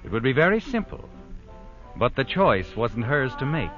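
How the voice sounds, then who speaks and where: A man's voice: mid-range voice, big pitch swings, moderate pace, lightly acted. An actor playing an ominous, all-knowing mystery narrator who tells his tale in a brooding, dramatic 1940s radio style. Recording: dull old radio recording with prominent music.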